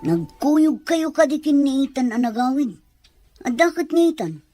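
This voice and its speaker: High-voiced nosy older mother played by an actress. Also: sing-song, normal speed, warm tone, no echo, faint music behind. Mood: questioning. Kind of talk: acted Ilocano audio drama.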